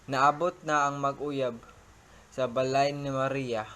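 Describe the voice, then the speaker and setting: A man's voice: mid-range voice, level tone, takes it slowly, heavy background noise. A man with a Cebuano accent narrating a student radio drama in a plain storytelling way.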